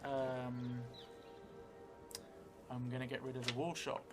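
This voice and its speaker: Middle-aged male solo game narrator, slow and reflective, piecing the story together aloud, music underneath. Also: medium pitch, monotone. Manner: thoughtful, halting, thinking aloud in short phrases. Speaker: solo role-playing streamer. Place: indoor room set up for streaming, seated at a table.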